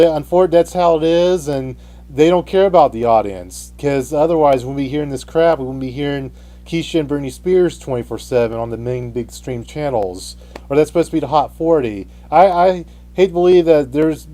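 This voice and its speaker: A man hosting an unscripted radio debate, speaking haltingly and often restarting his phrases. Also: mid-range voice, dark tone. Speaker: radio show host.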